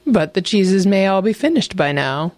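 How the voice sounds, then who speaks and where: A woman's voice: medium pitch, sing-song, moderate pace, a little mellow, no room sound, pitched above the performer's own voice, natural voice. A woman voicing a greedy, hungry male wolf who talks bluntly and impatiently.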